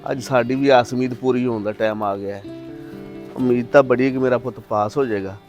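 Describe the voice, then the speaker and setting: A man playing an emphatic, excitable middle-aged father with a Pakistani Punjabi accent in a moral drama. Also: medium pitch, a little fast, dull audio, soft background music, close to an everyday voice. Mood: hopeful. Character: proud, hopeful father who turns furious. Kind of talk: acted moral-story drama.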